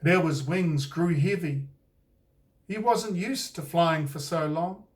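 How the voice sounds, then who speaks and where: A New Zealand man narrates a picture book in a slightly high voice. He takes his time, reading very slowly and expressively. The microphone sits a little way off from him. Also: recorded from a distance. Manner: unhurried, expressive read-aloud delivery. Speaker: author and storyteller.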